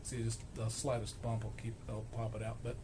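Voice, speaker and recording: A man giving an informal, halting, explanatory narration of a hands-on repair, as a repair vlogger at a workbench. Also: medium pitch, monotone. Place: indoor electronics workbench. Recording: clearly noisy workshop camera recording.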